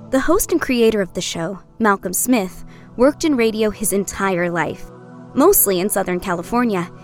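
An actress playing a reflective young woman, narrating a scripted documentary in a measured way. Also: fairly high pitch, darkish tone. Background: music.

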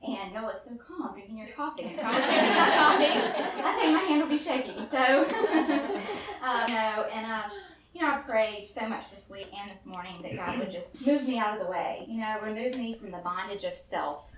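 A woman's voice: a little high, animated, normal speed. Nervous woman in an echoey room. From Memphis, Tennessee, US. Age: 30s. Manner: nervous, earnest, laughs often. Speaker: guest storyteller. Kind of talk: personal testimony to a live audience. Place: room with a live audience. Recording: roomy and distant with faint room noise.

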